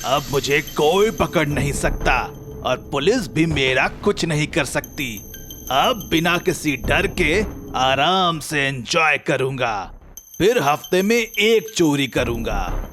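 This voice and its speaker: A man's voice: a little high, expressive, takes it slowly, a bit bright, faint music behind. A man acting a blustering comic figure in a cartoon story, with theatrical, exaggerated delivery. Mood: gleeful, boastful. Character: blustering male police officer and boastful male thief in comic story.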